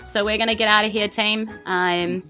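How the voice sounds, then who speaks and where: Bright, effusive New Zealand woman hosting. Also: medium pitch, steady tone, moderate pace, faint music behind. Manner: effusive, giggly. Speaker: podcast host and game master. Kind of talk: casual chatty podcast hosting.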